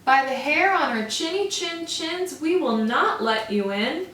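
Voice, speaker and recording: A woman voicing a chorus of small frightened wolf cubs in a storybook reading, high and emphatic as they refuse. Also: animated, unhurried, fairly bright tone, slight background noise. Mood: defiant. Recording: roomy echo, from far off.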